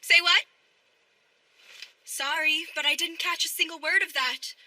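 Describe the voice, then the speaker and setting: A woman's voice: extremely high pitch, fairly even intonation, a little slow, pushed and bright, exaggerated voice. A woman voicing a haughty, bullying schoolgirl duelist in a dubbed anime, with sneering, theatrical delivery. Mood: mocking, condescending. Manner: sneering, theatrical, mock-sweet taunts.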